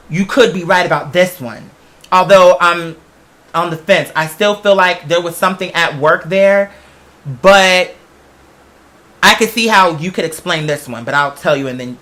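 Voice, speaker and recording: A man's voice: high voice, bright, forceful tone. A man hosting a casual talk show, telling personal stories in a chatty, emphatic way with self-questioning asides. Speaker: podcast host. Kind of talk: casual personal storytelling monologue.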